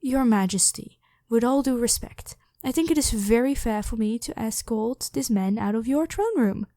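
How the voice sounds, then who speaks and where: Woman acting devoted royal knight, softly mellow higher voice. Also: moderate pace, no echo, lightly acted. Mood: firm, indignant. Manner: formal, deferential address to a monarch. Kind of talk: scripted ASMR roleplay acting.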